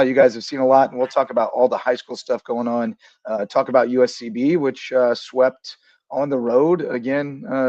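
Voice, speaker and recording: A man hosting a casual basketball talk show with a voice a bit raspy from shouting the day before, rambling easily with lots of fillers. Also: mid-range voice, no echo. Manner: easygoing, rambling with many fillers. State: slightly raspy from coaching. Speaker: podcast host. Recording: dull, dry sound.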